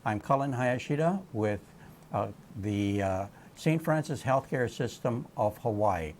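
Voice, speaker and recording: A man hosting a conversational television interview, phrasing his questions in a halting, tentative way. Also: mid-range voice, expressive, a little mellow, slight background noise. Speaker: television program host.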